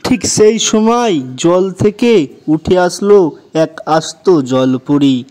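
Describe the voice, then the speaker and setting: A man's voice: high-pitched, takes it slowly, a little mellow. A man narrating a scripted children's story as the narrator of a cartoon.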